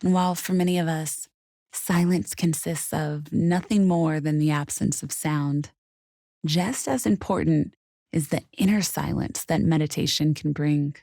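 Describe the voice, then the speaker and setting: A woman guiding a meditation in a soothing, unhurried, softly spoken way with gentle pauses. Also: low-pitched, fairly even intonation, no echo, clean studio sound. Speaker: meditation guide. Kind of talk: guided meditation narration.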